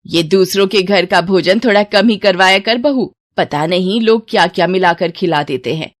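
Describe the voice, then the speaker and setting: Woman as old village aunt, meddling, suspicious, taunting, drawn-out scolding, cartoon story. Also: mid-range voice, no room sound, studio quality, close to an everyday voice. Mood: disapproving. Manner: taunting, dialect-tinged scolding.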